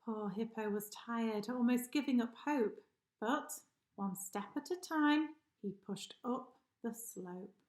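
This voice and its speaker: A woman's voice: fairly high pitch, takes it slowly, darkish tone. A woman reading a rhyming children's story aloud expressively, like a teacher addressing pupils. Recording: echoey room.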